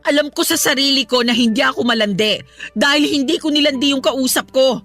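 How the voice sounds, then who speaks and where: A woman's voice: sits high, a little fast, a bit bright, soft background music. A woman playing a hurt, defiant young mother in an emotional radio drama, pleading and arguing indignantly.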